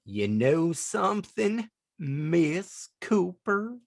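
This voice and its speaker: A smitten, cheeky butcher from a radio comedy, with a high voice that swoops around. He takes his time, coy and chuckling through his flirting. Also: very animated, drawn-out words, gravelly, no room sound, clean studio sound, heavily acted. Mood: flirty.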